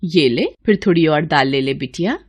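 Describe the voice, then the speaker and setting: A woman playing a gentle, self-sacrificing poor wife and mother in a cartoon story. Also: deep voice, moderate pace, breathy edge, voice pushed down. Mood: gentle, reassuring. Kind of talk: Hindi cartoon story voice acting.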